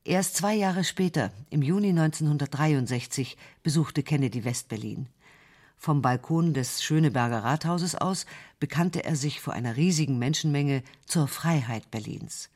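Higher-voiced male documentary narrator. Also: moderate pace, darkish tone.

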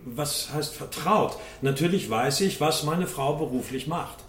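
A man's voice: fairly high pitch, unhurried, fairly bright tone. An actor playing a guarded, defensive businessman testifying as a witness in a radio drama. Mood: wary. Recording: echoey courtroom with clear background noise.

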